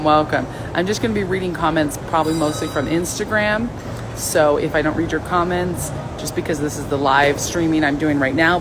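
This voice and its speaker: A woman with a slightly low, raspy voice hosts a casual live stream and speaks bluntly and playfully to her viewers. A clear hum of background noise runs behind her. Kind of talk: casual live-stream chat reading comments.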